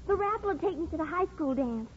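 Cheeky teen daughter, high and breezy, flippant, old radio drama, muffled with clear noise. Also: female voice, animated, quick pace. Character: sassy, slangy high-school daughter. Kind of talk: 1950s radio drama acting. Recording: muffled, noisy 1950s radio broadcast.

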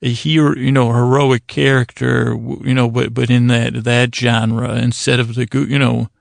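Creaky-voiced man rambling softly to soothe. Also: mid-range voice, little pitch movement, dry recording, clean studio sound. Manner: meandering, digressive, halting with pauses; soothing, lulling delivery. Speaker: podcast host. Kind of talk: rambling bedtime sleep podcast monologue.

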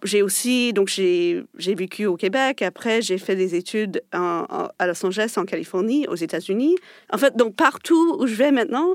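A woman's voice: medium pitch, normal speed, no echo, clean studio sound. A woman whose first language is English, from English Canada, speaking French with an accent, hesitant with restarts and animatedly quoting others. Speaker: linguist guest. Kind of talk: casual interview.

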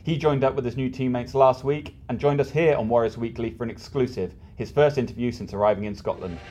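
A male podcast host with a Glaswegian accent talks at a quick clip. He presents scripted links and puts questions to guests in a mid-pitched voice. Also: background noise.

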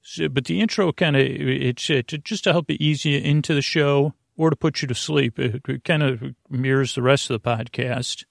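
Creaky-voiced man rambling through a bedtime podcast. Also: mid-range voice, fairly even intonation, dry recording, clean studio sound. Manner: meandering, halting, digressive, trailing off and self-interrupting. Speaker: sleep podcast host.

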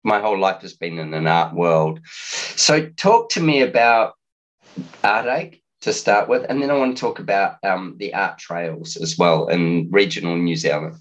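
Low-voiced easygoing male host. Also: measured pace, poor audio. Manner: relaxed, easygoing, chuckles often. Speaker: podcast host. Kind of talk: casual interview chat.

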